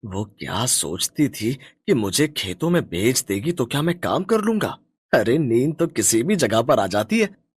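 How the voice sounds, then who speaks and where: A man playing a lazy, sleep-loving young village farmer in a cartoon moral story, with a husky voice and a complacent, coaxing manner. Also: mid-range voice, big pitch swings, a little slow, no echo, studio quality. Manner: complacent, coaxing, swooping intonation. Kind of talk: animated moral story dialogue.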